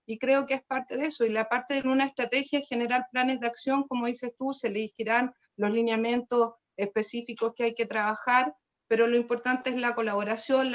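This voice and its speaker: Chilean woman panelist, fairly high voice, heartfelt delivery, slightly muffled sound. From Los Lagos region, Chile. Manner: earnest, passionate. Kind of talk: panel discussion.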